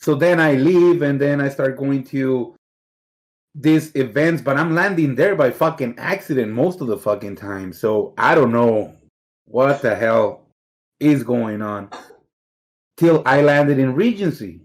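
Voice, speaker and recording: A man's voice: mid-range voice, unhurried. A middle-aged man from Mexico with a Mexican accent, guesting on a podcast and telling stories casually, swearing freely and laughing easily. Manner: laid-back, swears constantly, laughs easily. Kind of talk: casual storytelling interview.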